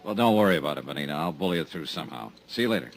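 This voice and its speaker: An actor playing a wisecracking insurance investigator in a 1950s radio drama, also narrating. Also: male voice, a bass voice, animated, very fast, background noise. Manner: dry, wisecracking, laconic.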